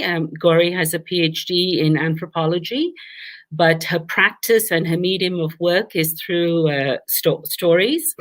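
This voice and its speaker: Lower-voiced woman moderating. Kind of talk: introducing a speaker at an online event.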